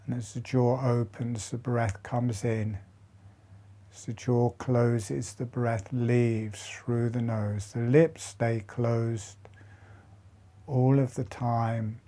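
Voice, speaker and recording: A man leading a guided breathing and jaw relaxation meditation in slow, soft, broken phrases with long pauses. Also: mid-range voice, level tone. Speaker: meditation teacher.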